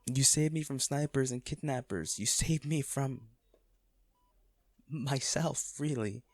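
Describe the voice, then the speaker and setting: A man acting an anguished wealthy businessman in an intimate audio drama, speaking haltingly and emotionally to a comatose lover, with a gravelly voice. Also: mid-range voice, no echo. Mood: nostalgic, sorrowful. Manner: halting, emotional, with sighs and repeated words.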